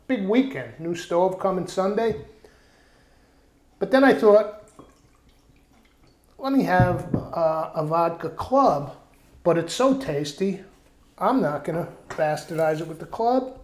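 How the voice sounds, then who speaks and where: A man's voice: high voice, lively intonation, takes it slowly, long pauses, a little mellow, roomy sound. A man hosting a casual home cooking vlog, chatting and rambling through stories. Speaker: cooking show host. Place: outdoor backyard kitchen.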